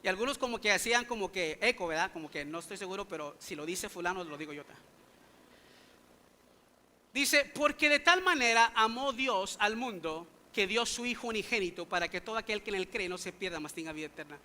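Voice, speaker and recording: High, bright-voiced male pastor preaching. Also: expressive, quick pace, few pauses. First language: English. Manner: emphatic, full of rhetorical questions to the congregation. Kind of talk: sermon. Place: church sanctuary.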